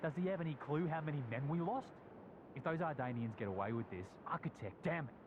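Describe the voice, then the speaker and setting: An actor playing an angry, grumbling soldier in a game, blunt and indignant. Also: male voice, fast talker, highs cut off, natural voice. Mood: bitter. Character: angry, grumbling male soldier bitter about losses. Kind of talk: game cutscene dialogue. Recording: loud rumbling outdoor noise behind.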